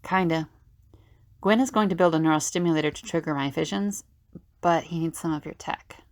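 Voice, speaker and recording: A woman playing an earnest, candid young visionary in an audio drama, with a halting, confiding delivery. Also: sits low, no room sound, clean studio sound. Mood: casual. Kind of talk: amateur audio drama acting.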